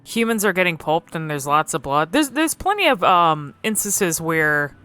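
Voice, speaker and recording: A woman giving casual, unscripted game commentary, thinking aloud haltingly and swearing freely. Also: lowish voice, big pitch swings, bright, forceful tone.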